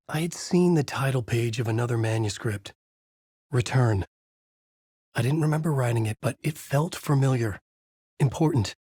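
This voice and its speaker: An actor playing a haunted male horror writer who narrates in a measured, brooding way in a dry studio booth. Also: mid-range voice, darkish tone, husky, no room sound, natural voice. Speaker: video game character narrating. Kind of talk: game voice lines, dark narration. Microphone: studio mic.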